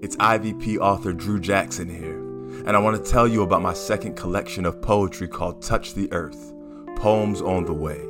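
Guy author reading a promo, lowish voice, clear guitar music underneath. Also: moderate pace. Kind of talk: scripted promo read. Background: plucked guitar music.

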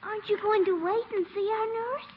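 Tiny innocent child, extremely high pitch, curious and polite, old radio drama, muffled recording. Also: female voice, fairly even intonation, a little fast. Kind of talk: 1950s radio acting. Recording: dull, noisy 1950 radio recording.

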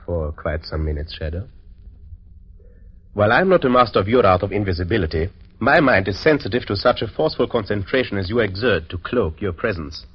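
An actor playing a cold-blooded, urbane doctor villain in an old-time radio drama, speaking with measured, polite menace and deliberate hesitations. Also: male voice, lowish voice, lively intonation, husky. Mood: quietly confident. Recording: dull, noisy old radio recording.

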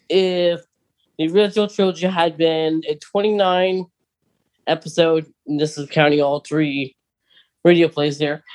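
A man co-hosting a podcast, talking casually and eagerly as a big fan, with plenty of ums. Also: fairly high pitch. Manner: enthusiastic fan, talkative, many fillers. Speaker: podcast co-host.

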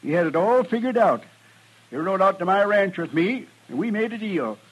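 An actor playing a sly, folksy rancher who feigns innocence in a drawling rustic manner, in an old-time radio western. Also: male voice, high voice, lively intonation, normal speed, husky, poor audio. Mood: self-righteous. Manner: drawling rustic speech, crafty. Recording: vintage radio drama recording.

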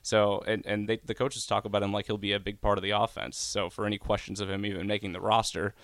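A young man from Nebraska, a sports reporter, giving measured analysis as a guest on a radio show over a remote call. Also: on the low side, flat delivery, fairly bright tone, no echo. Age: young adult. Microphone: remote video call.

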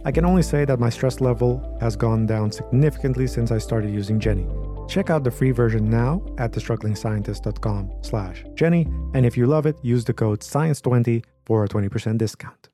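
Mellow-voiced man co-host. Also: mid-range voice, a little fast, soft background music. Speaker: podcast co-host. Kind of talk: interview and sponsor read.

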